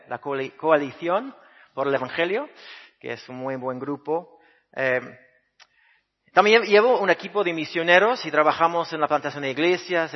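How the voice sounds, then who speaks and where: A man with a Spanish accent softened by Mexican speech preaches as a guest missionary pastor, his voice pitched a little high. He talks earnestly and conversationally, with many hesitations. The dull, muffled recording carries a long church-hall reverb. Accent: Spanish with Mexican Spanish influence. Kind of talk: sermon.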